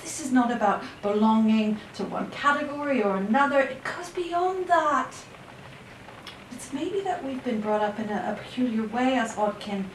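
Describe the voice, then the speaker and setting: A woman's voice: on the high side, animated, normal speed, close to an everyday voice. An actress playing a sensitive, principled woman in an audio play, speaking with earnest emotion. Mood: earnest, protective. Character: sensitive, principled woman protective of her elders. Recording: echoey, distant, faint noise.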